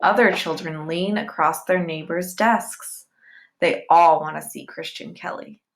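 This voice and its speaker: A woman reading a children's novel aloud in deliberate, simple phrases, as if telling it to young listeners. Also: deep voice, vocal fry. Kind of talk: read-aloud storytelling with short asides to listeners.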